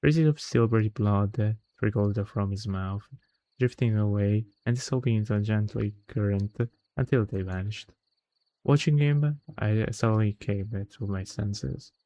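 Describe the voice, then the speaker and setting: A man's voice: fairly low pitch, measured pace, warm tone, no echo, poor audio. A man livestreaming a visual novel read-aloud in a casual, chatty way, often stumbling over words and correcting himself.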